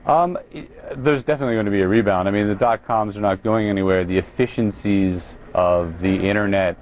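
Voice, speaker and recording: An American man with a fairly low voice speaks as a business guest on a news interview. He explains his points haltingly, pausing and restarting as he goes. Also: a little mellow. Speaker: company president, interview guest.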